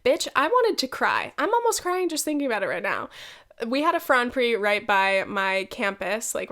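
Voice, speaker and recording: A young woman in her twenties with a stuffed-up, nasal head-cold voice, pitched a bit high. She hosts her podcast alone in loose, chatty fashion, cursing freely and poking fun at herself. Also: fairly bright tone. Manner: chatty, swearing, self-deprecating, quick asides. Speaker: podcast host. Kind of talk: casual solo podcast chat.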